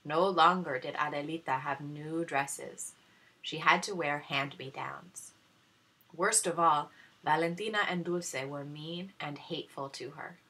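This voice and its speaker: A woman reads a children's story aloud like a teacher to young pupils. Her voice is low and a little raspy, with a forceful bright edge. The narration is expressive. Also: a little slow, rough recording.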